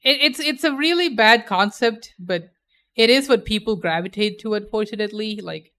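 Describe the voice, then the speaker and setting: A woman's voice: mid-range voice, expressive, moderate pace, fairly bright tone. A young adult woman chatting casually on a stream, playful and opinionated, laughing often.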